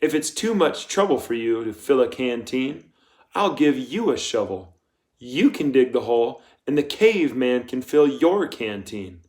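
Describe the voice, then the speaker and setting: Man voicing a slow, coldly polite female boss. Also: mid-range voice, sing-song. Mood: quiet menace. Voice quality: soft. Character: soft-spoken menacing female camp warden, coldly polite, commanding. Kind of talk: audiobook character voice.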